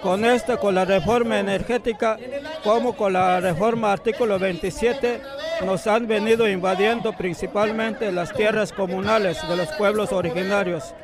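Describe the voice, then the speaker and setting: A man, a teacher at a street protest, speaking emphatically and at times almost shouting. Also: high-pitched, fairly even intonation, normal speed. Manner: emphatic, restarts often, rising to near shouts. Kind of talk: impassioned street interview. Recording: crowd noise on location. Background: crowd of protesters.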